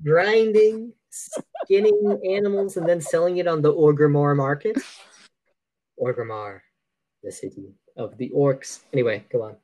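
A man with a somewhat high voice banters about films as a podcast co-host. He is casual and jokey, dropping in mock-indignant asides. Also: measured pace, darkish tone, rough recording.

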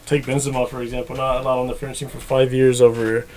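A young man, a goalkeeper guest on a podcast, telling stories in a rapid, rambling way full of "like" and "you know". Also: mid-range voice, flat delivery, a little mellow. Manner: rapid, rambling, filler-heavy storytelling. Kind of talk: casual chat.

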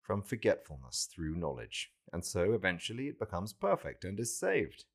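Man reading as a solemn divine teacher, low-pitched. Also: huge pitch swings, heavily acted. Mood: solemn teaching. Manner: solemn, deliberate, pronouncing. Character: calm, authoritative male divine saviour teaching a follower.

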